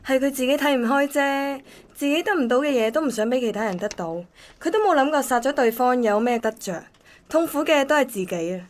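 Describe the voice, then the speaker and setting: A woman's voice: high-pitched, a little fast, lightly acted. A woman acting a composed, matter-of-fact senior forensic analyst in a radio drama, with a Hong Kong Cantonese accent. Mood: disapproving.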